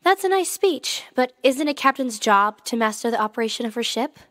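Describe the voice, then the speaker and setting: An actress playing a stubborn, self-doubting young starship ensign in an audio drama, with a casual, blunt, questioning way of talking. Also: female voice, on the high side, expressive, a little fast, a bit bright, no echo, studio quality. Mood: defensive.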